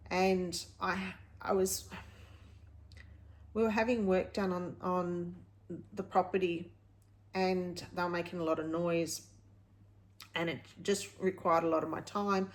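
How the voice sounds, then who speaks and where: Chatty woman in her late fifties. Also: on the low side. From Australia. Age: late 50s. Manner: candid, rambling, self-correcting. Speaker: vlog host. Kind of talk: casual personal vlog, chatting to viewers.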